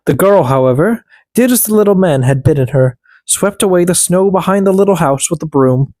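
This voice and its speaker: An audiobook narrator reading a fairy tale as expressive scripted narration. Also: mid-range voice, fairly quick, no room sound.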